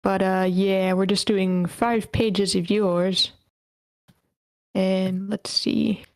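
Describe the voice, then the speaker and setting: Slow-paced woman hosting an online meeting. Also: mid-range voice, flat delivery, dry recording, clean studio sound. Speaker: host of an online table read. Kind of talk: casual hosting, organizing readers.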